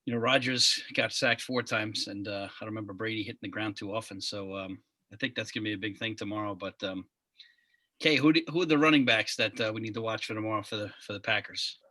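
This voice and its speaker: A man with a Long Island accent hosting a casual sports podcast, friendly and quick to laugh. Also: on the low side, dry recording. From Long Island, New York. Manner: relaxed, friendly, laughs often. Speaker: podcast host.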